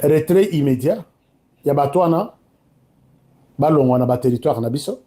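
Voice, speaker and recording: Mellow-voiced Congolese man, emphatic commentary. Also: fairly high pitch, lively intonation, normal speed. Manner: emphatic, direct address, switching between two languages. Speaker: online political commentator. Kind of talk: informal political commentary to camera.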